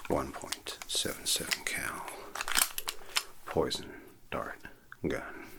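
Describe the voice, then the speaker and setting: Noir arms dealer, deadpan seller, whispered by a man, gravelly and slow. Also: heavily acted. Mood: smooth pitch. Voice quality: low creaky whisper. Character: shady male arms dealer at a burger counter. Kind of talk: whispered ASMR noir roleplay. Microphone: close sensitive ASMR mic.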